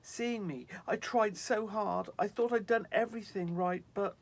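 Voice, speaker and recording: A man's voice: high-pitched, measured pace, vocal fry, pitched above the performer's own voice, heavily acted. A man doing a fretful snow angel. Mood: anxious. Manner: fretful, earnest, a little pompous. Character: anxious, fussy young male snow angel.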